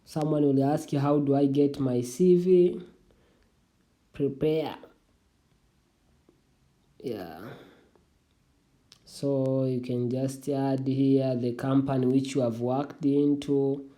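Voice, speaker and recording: A young adult speaker whose first language is Swahili explains an online form step by step in a casual, halting way. The voice is deep-toned and mellow, sitting at a middle pitch. They restart sentences often and address listeners as family. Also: fairly even intonation. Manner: halting, with frequent restarts, calls viewers "family". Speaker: tutorial vlogger. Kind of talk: casual screen walkthrough of an online application.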